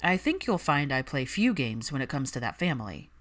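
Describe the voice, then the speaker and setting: A woman voicing a composed, wry, probing man of an old wizard family, with a smooth, measured manner in audiobook dialogue. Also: extremely low pitch, sing-song.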